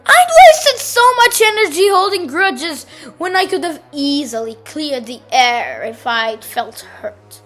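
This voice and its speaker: Woman playing a very high-pitched, theatrical storybook grape. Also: lively intonation, fairly bright tone, soft background music, heavily acted. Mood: calm, hopeful. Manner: exclamatory, theatrical, drawn-out delivery. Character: sour, dramatic, reforming grape telling its own story. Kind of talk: children's storybook read-aloud.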